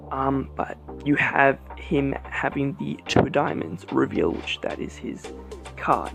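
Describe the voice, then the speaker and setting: A man casually reviewing a deck of cards as a channel host, relaxed and rambling with many fillers. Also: on the high side, gravelly. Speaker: playing card reviewer. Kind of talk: casual vlog product review.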